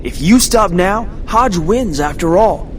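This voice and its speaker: An actor playing a cocky, determined young superhero in a video game, casual and wry. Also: male voice, sits high, expressive, unhurried, slight background noise. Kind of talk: video game cutscene acting.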